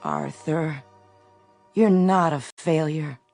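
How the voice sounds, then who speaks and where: An actress playing a long-suffering, anxious wife in a radio drama, with a pleading, emphatic manner. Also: female voice, sits low, slow pace, creaky, a little mellow, heavily acted. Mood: concerned, pleading. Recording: music underneath.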